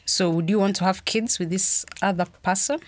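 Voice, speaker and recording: A woman's voice: mid-range voice, steady tone, moderate pace. A young adult woman hosting a podcast, chatty and giggly, often restarting her sentences. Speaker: podcast host. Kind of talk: casual chat.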